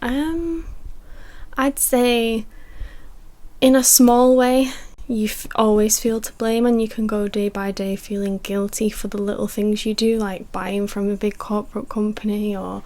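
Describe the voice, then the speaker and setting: A woman's voice: mid-range voice, steady tone, measured pace, a little mellow. Earnest young woman in her twenties. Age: 20s. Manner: earnest, uses filler "like". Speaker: interviewee. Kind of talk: verbatim interview answers.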